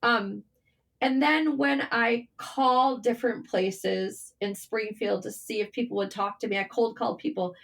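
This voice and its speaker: Female podcast guest, higher-pitched voice, informal interview storytelling with an animated conversational delivery. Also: a little slow, creaky. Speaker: podcast guest, writer and journalist. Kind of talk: casual interview chat.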